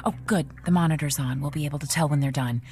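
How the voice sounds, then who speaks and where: A woman's voice: low-pitched, fast, natural voice. A woman playing an earnest, secretive and insistent member of a theatre troupe in an audio drama. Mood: secretive, serious.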